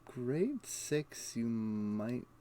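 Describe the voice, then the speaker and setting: A man's voice: mid-range voice, very animated, drawn-out words, dark tone. A man doing casual video game stream commentary, muttering to himself and explaining things offhandedly. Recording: faint background noise.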